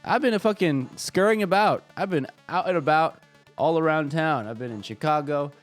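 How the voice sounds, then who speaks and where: A man's voice: a little high, normal speed. A man streaming live, a little congested and stuffy, chatting casually and joking with lots of profanity. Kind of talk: casual livestream chat. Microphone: desk microphone. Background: faint music.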